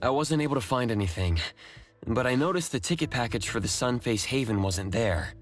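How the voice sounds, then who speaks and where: A man voicing an earnest, observant, even-tempered young protagonist in a video game. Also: medium pitch, a little fast, soft background music, close to an everyday voice. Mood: puzzled.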